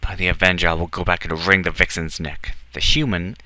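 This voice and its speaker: A man voicing a sardonic, haughty tall male elf with a quick temper and a theatrical, teasing manner in a storybook reading. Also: deep voice, animated, bright, forceful tone, no echo, exaggerated voice. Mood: enraged. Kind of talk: audiobook character acting.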